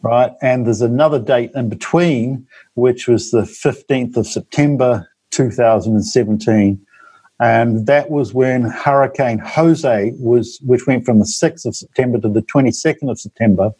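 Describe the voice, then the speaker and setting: NZ-man in his fifties, interview guest, dark mellow tone, dry sound, rambling delivery. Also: medium pitch, normal speed, breathy edge, dry recording, rough recording. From New Zealand. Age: 50s. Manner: rambling, many ums and tag questions. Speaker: guest author. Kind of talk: interview monologue.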